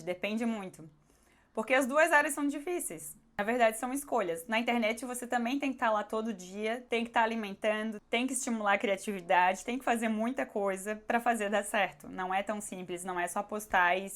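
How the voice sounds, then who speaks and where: A woman with a Brazilian accent speaks in a casual question-and-answer vlog style. Her voice is hoarse and sits at a medium pitch. She comes across as candid and conversational, talking straight to her viewers. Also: a little fast, fairly bright tone.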